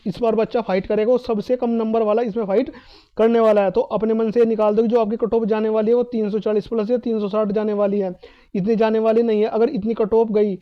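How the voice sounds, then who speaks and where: A man's voice: sits high, highs cut off. A man giving an informal explainer to exam candidates, emphatic, repeating his points and asking himself questions. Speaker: exam cutoff advice presenter.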